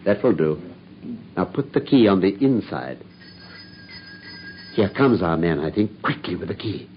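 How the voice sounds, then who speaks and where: A man's voice: low-pitched, sing-song, unhurried, a little hiss or hum. An actor playing a brilliant, confident male detective in an old radio drama. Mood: brisk. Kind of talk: 1950s BBC radio drama acting. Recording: dull lo-fi old radio recording.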